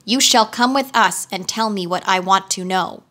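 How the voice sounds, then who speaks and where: A woman reading a storybook aloud, voicing a calm, unemotional copper clockwork man who speaks in measured, commanding tones. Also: medium pitch. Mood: steady.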